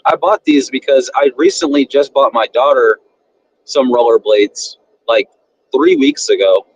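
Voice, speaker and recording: A man in his thirties, a guest chatting casually and relaxed about his family. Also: mid-range voice, a little slow, husky. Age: 30s. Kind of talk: casual interview chat. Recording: dull lo-fi audio.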